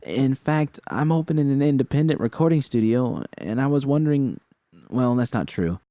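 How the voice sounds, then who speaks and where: A frank, caring young woman technician read by a man in an audiobook story. The delivery is slangy, halting and reassuring, in a mellow voice with a dry, close sound. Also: mid-range voice, dry recording, voice pushed up, natural voice. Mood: earnest, encouraging.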